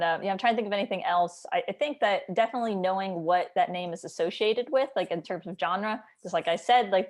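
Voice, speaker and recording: A woman with a mid-pitched voice talks in a casual, chatty way. She sounds like a writer hosting an informal book discussion, thinking aloud and peppering her sentences with "like". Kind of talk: casual conversational book chat.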